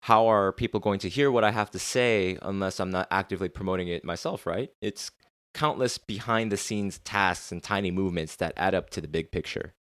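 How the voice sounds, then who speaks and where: Deep-voiced earnest man podcasting solo. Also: a little fast, a bit bright, no echo, clean studio sound. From United States. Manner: earnest, candid, swears now and then. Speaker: podcast host. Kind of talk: solo reflective podcast monologue.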